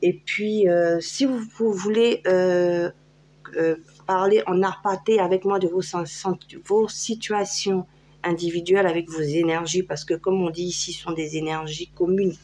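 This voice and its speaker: A French woman talks her viewers through a tarot card reading in a loose, chatty way, full of fillers and restarts. Her voice sits somewhat low for a woman. A faint hum runs under the recording. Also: steady tone, a little slow, a little mellow. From France. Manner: chatty, hesitant, many fillers. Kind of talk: casual tarot guidance video.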